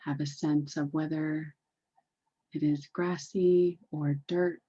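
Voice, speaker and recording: A woman leading a guided meditation in a deliberate, soothing, repetitive way, on a dull, muffled recording. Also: low-pitched, fairly even intonation, mellow, slightly rough.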